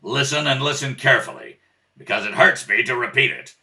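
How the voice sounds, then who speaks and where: A man playing a gruff, exasperated middle-aged father, blunt and emphatic, in radio-comedy style. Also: medium pitch, brisk, pushed and bright. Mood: exasperated, angry. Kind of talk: 1930s radio comedy acting. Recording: distant home kitchen-table recording.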